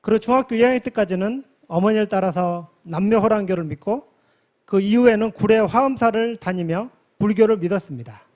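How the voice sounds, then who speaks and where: Korean guy in his 40s, pretty high voice, giving a church-type testimony speech, formal and deliberate. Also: a little mellow. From South Korea, from a village near Yeosu. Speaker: conference member giving a testimony.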